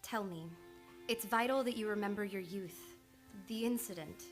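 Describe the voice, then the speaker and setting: An actress playing a composed, determined and caring psychoanalyst, earnest and theatrical in an old-time radio play. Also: female voice, mid-range voice, normal speed, natural voice. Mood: urgent. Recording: studio radio play with music behind.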